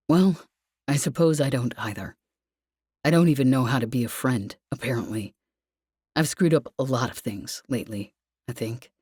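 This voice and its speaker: Woman voicing a gentle, low, hesitant man. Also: husky, no room sound, studio quality. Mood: awkward, self-blaming. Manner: halting, soft-spoken, rueful.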